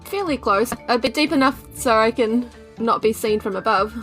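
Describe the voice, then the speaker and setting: A woman's voice: on the high side, moderate pace, fairly bright tone, faint music behind. A woman talking casually as a player in a tabletop game. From New Zealand.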